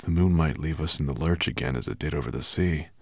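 Storybook dragon, deep and soft-spoken, man reading him. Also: quick pace, dry recording. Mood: gentle. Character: gentle young male silver dragon. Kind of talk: audiobook character voice.

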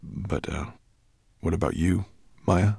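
A man voicing a calm, noble male dragon, gentle and resolute, in a storybook. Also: low voice, slow pace, vocal fry, slightly rough, dry recording, heavily acted.

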